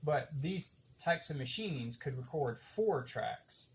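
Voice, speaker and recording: An older man talks through a practical demonstration in a casual, explanatory way. His delivery is unhurried and halting, as if thinking aloud while he works. A faint wash of room noise sits behind his medium-pitched voice. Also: lively intonation. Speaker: demonstrator of reel-to-reel tape machines.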